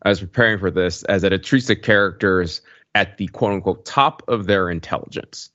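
A man's voice: low voice, fairly quick, a bit bright, no echo, dull audio. A man on a podcast panel in casual, analytical discussion, choosing his words with some hesitation.

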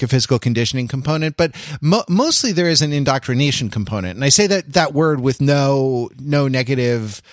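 A man co-hosting a podcast, talking casually and analytically in a halting, rambling, intellectual way with wry amusement. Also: a little high, no echo, clean studio sound. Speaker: podcast co-host.

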